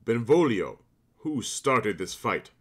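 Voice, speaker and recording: An actor playing a stern, authoritative ruling prince in a modernized Shakespeare audio drama. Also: male voice, mid-range voice, big pitch swings, slow.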